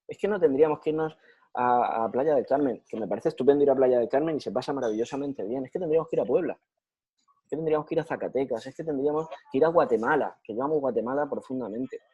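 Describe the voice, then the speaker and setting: A man's voice: mid-range voice, racing, dark tone, slightly rough, no room sound. A man from Spain with a Spanish accent, giving an enthusiastic, repetitive answer in a video call after a lecture. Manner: enthusiastic, repeats phrases for emphasis. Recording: muffled video call audio.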